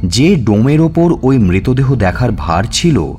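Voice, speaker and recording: A man narrating a scripted suspense story in a measured, expressive, dramatic way. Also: medium pitch. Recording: music bed under narration.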